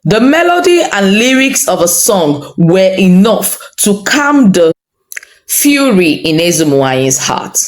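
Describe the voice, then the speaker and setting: A woman with a Nigerian accent narrating a folktale in a measured, dramatic, expressive storytelling style. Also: medium pitch. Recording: roomy echo under light music. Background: music.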